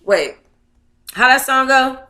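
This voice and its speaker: Bright-voiced woman chatting in an echoey room. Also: high voice, expressive, slow pace. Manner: playful, slangy, chatty, talks to viewers. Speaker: livestream host reading their own book aloud. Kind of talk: casual livestream chat with read-aloud.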